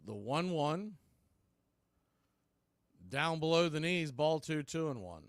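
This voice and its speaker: A man calling live baseball play-by-play in an excitable, folksy radio style. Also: fairly high pitch, slow, no echo, studio quality. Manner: excitable, folksy exclamations on big plays.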